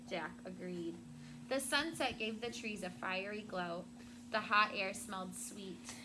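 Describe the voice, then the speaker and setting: A woman teacher reading a story expressively to children and pausing to explain words simply, with noise behind her. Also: mid-range voice. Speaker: elementary school teacher. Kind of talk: read-aloud storytelling to children, with questions and vocabulary asides. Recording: loud background noise.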